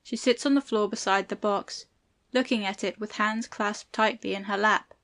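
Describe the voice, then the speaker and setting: Woman narrator with medium pitch. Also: steady tone, normal speed, a bit bright. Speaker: narrator reading stage directions. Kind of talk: scripted audiobook narration.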